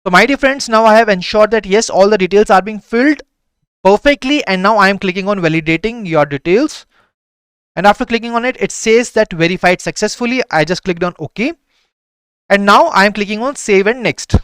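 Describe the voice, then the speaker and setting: A man with an Indian English accent and a high, smooth, resonant voice walks viewers through filling out an online form. He talks in a repetitive, reassuring way and sometimes slips into Hindi. The recording is close and dry. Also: a little fast, fairly bright tone, no room sound. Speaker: exam prep tutor. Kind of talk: screen-recorded form walkthrough.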